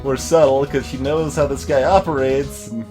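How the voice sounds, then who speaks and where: A man's voice: medium pitch, fairly even intonation, normal speed. Man in casual game commentary over music. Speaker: let's player voicing game characters. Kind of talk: casual gameplay commentary mixed with read dialogue.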